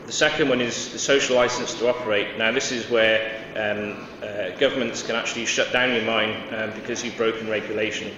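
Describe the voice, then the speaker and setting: A man's voice: on the low side, fairly even intonation, fairly quick, hardly any pauses, distant mic. A man from Wales giving a conference presentation, hesitant, with many fillers and restarts. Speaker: conference speaker. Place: large conference hall. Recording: echoey hall, dull sound, noisy.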